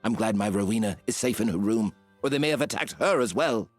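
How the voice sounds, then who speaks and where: A man's voice: lowish voice, a little fast, no echo, faint music behind, lightly acted. A man playing an anxious, devoted nobleman in a video game, speaking with earnest, melodramatic urgency. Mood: grave worry. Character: anxious, devoted nobleman fearing for his wife. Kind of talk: theatrical game cutscene acting.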